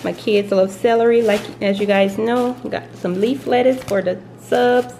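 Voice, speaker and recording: A young woman talks through her groceries in a loose, chatty way, laughing easily as she goes. She is a college mom filming at home in her kitchen on a close handheld camera. Steady room noise sits clearly under her voice. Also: medium pitch, moderate pace. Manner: chatty, relaxed, laughs often. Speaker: vlogger, college mom of three. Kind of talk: casual grocery haul vlog.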